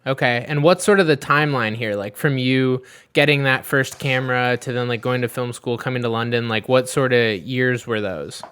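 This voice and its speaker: A male podcast host asks questions in a smooth, clean voice at a middle pitch. He keeps it friendly and upbeat. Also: normal speed, a bit bright. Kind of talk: casual interview chat.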